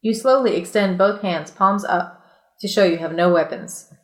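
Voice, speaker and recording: A woman's voice: medium pitch, moderate pace. Give me an irreverent, giggly woman reading aloud. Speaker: podcast co-host reading a story aloud. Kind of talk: casual comedic read-aloud with banter.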